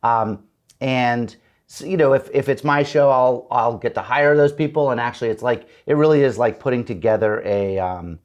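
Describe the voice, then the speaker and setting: A middle-aged man telling stories with animated quotes. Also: medium pitch, lo-fi sound. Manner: animated storyteller, quick restarts and acted-out quotes. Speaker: guest, TV comedy writer. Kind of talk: casual interview chat.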